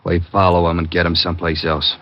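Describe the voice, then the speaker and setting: Male, actor as hard-nosed American crook in charge, lowish voice, terse and impatient, muffled vintage radio. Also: level tone, quick pace, close to an everyday voice. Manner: curt, tough, uneducated speech. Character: gruff, impatient male thug boss, cool-headed. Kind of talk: 1940s-50s radio acting. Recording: dull, noisy old radio recording.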